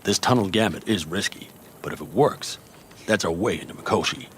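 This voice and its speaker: A man's voice: low-pitched, fairly quick, a bit bright, a little hiss or hum. A man playing a stern, cautious nomad clan chief in a video game, speaking in a terse, serious way. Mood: grave.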